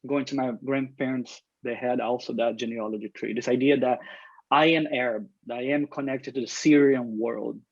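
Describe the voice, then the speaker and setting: A man's voice: mid-range voice, fairly even intonation, normal speed, gravelly, no echo, dull audio. A man from Brazil, an artist speaking earnestly on an online panel, often restarting his sentences. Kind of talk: panel discussion. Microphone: video call.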